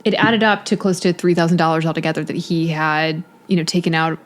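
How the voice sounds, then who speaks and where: A woman's voice: deep voice, fairly even intonation, fairly quick. A woman co-hosting a podcast, telling a case in casual chat with a lot of "you know" fillers. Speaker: podcast co-host.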